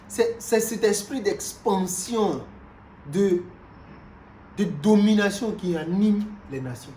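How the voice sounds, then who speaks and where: A man from Cameroon, preaching a sermon in an animated way, moving from loud exclamations to quiet asides and repeating himself often. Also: high voice, slow. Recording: roomy echo with faint background noise.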